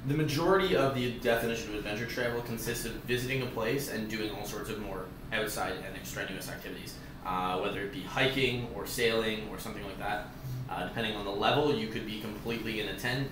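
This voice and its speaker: A man's voice: fairly low pitch, fast. A man moderating an informal group discussion, explaining things in a casual way. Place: indoor room with a group. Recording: echoey noisy room, far from microphone.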